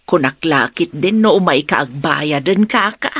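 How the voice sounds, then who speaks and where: A woman acting a brisk market vendor in an audio drama, with a Filipino Ilocano accent. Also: sits low, expressive, a bit bright, breathy edge, no room sound, heavily acted.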